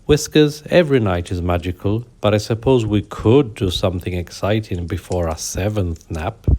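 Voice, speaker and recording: A man's voice: fairly low pitch, moderate pace, dark tone, close to an everyday voice. A man voicing a prim, sleepy lady cat magician in a bedtime storybook tale, long-suffering and wryly scolding. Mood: indulgent, drowsy.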